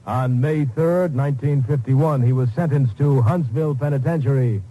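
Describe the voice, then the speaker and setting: Solemn, mellow male radio announcer. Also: mid-range voice, moderate pace, rough recording. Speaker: announcer giving the case outcome. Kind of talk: solemn scripted 1950s radio narration. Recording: old 1950s radio broadcast recording.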